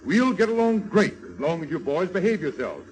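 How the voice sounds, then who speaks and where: Scheming medicine show boss, higher-pitched, animated, coaxing, commanding, old radio serial. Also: male voice, brisk, slight background noise, rough recording. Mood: coaxing, bossy. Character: bossy smooth-talking medicine show kidnapper. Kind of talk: 1930s radio serial acting.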